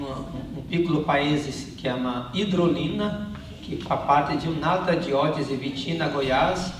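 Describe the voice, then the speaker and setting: A man, a priest interpreting live for a congregation, hesitant and searching for words, in a church. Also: a little high. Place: large reverberant church. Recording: echoey church, distant mic, faint noise.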